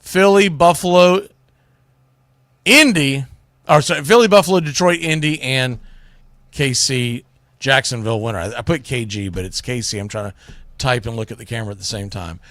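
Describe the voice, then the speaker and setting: A man's voice: mid-range voice, moderate pace, long pauses, a bit bright, dry recording. A man hosting sports talk radio, giving blunt, exasperated, folksy opinions into a close microphone. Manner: exasperated ranting, blunt, mildly salty, folksy.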